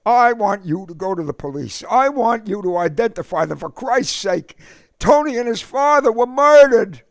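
A man's voice: very high voice, very animated, a little slow, no echo, studio quality, pitched above the performer's own voice, full character voice. A man reading an audiobook, voicing a young man who is urgent and pleading.

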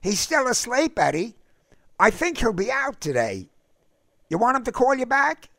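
A man's voice: extremely high pitch, sing-song, moderate pace, creaky, fairly bright tone, no room sound, voice pushed up, heavily acted. A hesitant, polite young woman, as a male narrator puts her on in a voice lifted far above his own. The sound is dry and close.

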